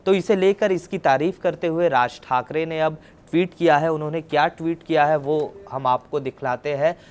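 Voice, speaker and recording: A man's voice: fairly high pitch, normal speed, clear, resonant tone. A man presenting a news report, explaining as he goes and often restating his points.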